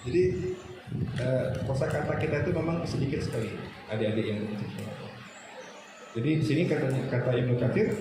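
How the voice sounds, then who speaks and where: A man with an Indonesian accent giving an Islamic lecture to students, didactic, repeating phrases and posing questions to his listeners. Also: on the high side. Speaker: religious teacher lecturing students. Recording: very echoey room with clear background noise.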